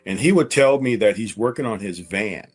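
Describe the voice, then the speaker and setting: A man's voice: fairly low pitch, expressive, moderate pace, slightly rough. A man in his forties speaking as an interview guest, candidly telling a personal story in a conversational way and repeating phrases for emphasis.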